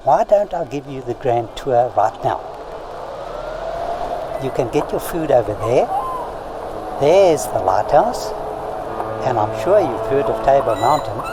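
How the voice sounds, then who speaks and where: A man's voice: medium pitch, very animated, unhurried, long pauses. A man playing a chatty, impatient local driver showing a visitor around in a radio drama. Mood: chatty, welcoming. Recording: clear vehicle and street noise.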